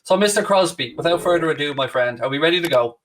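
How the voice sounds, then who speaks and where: A Dublin Irish man in his late thirties talks in a loose, rambling way and pokes fun at himself. His voice sits a little high for a man and sounds somewhat distant from the mic. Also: animated, fast, fairly bright tone. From Ireland. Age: late 30s. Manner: candid, rambling, self-deprecating. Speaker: podcast host. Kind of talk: casual chat.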